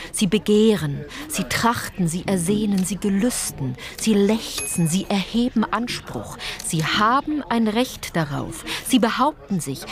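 A woman's voice: medium pitch, natural voice. An actress as a wry woman narrator, crowd behind. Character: wry, observant female narrator. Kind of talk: scripted literary radio play narration.

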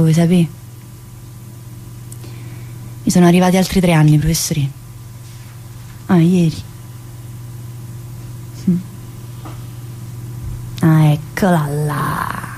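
An actress playing an earthy, wisecracking woman in prison, chatty and crude and swinging from loud outbursts to low muttering. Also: fairly even intonation, slow pace, pauses often, a little hiss or hum, poor audio. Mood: bitter. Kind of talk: stage monologue.

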